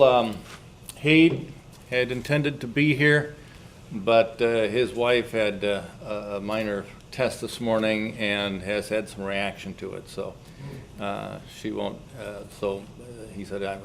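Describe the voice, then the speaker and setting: A man chairs an informal club meeting in a somewhat low voice. His announcements ramble and halt as he finds his way. There is faint background noise on the recording. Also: vocal fry. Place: indoor club meeting room.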